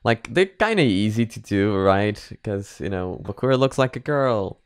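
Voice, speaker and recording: A man's voice: lowish voice, expressive, moderate pace, clear, resonant tone, no room sound. A man in his twenties giving casual reaction commentary into a close microphone, hesitating and repeating words as he goes. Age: 20s. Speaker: reaction video host. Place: small home room, indoors. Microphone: close microphone on boom arm.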